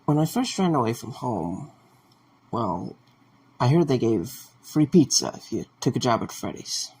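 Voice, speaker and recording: A man playing a grieving, awkward young former security guard in an audio drama, speaking haltingly. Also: medium pitch, sing-song, darkish tone, husky, heavily acted, rough recording. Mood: halting grief. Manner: halting, hesitant.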